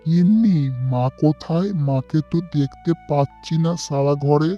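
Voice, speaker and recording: A woman's voice: low voice, a little slow. A woman voicing a meek, worried husband and devoted son in a melodramatic cartoon, pleading with concern. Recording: dull sound, music underneath.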